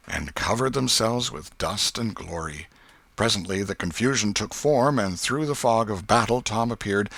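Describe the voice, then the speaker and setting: An American man reading literary narration as an audiobook narrator, in a measured storytelling manner. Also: fairly low pitch, fairly bright tone, no room sound.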